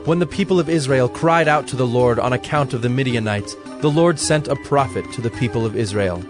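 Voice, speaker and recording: A man narrating scripture from a script in a measured, storytelling manner. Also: medium pitch, music in the background.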